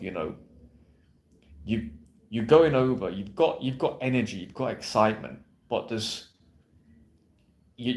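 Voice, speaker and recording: A man coaching viewers on dating in a blunt, brash, emphatic way, swearing freely and checking in with "yeah?". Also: fairly low pitch. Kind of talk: direct-to-camera coaching monologue. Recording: roomy echo.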